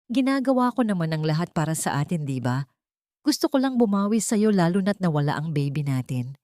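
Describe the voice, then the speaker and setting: A woman's voice: on the low side, sing-song, a little fast, dark tone, no room sound. A manipulative Filipino husband in an audio story, acted by a woman. He coaxes with false tenderness and plays the wounded victim. The recording is very dry. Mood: coaxing, wounded. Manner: coaxing, wounded-sounding.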